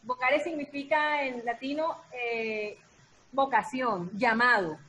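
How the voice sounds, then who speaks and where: A woman talking casually and reflectively as an artist, pausing and repeating words for emphasis. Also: a little high, sharp tone, a little hiss or hum, rough recording. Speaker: voice artist, founder of an artistic collective. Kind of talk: casual reflective talk in an online discussion. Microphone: video call.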